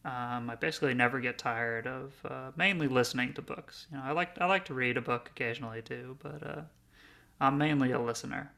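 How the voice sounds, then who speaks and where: A man's voice: mid-range voice, a little fast, creaky, bright, forceful tone. A man in his early thirties co-hosting a podcast in a rambling, self-deprecating, casual way. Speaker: podcast co-host.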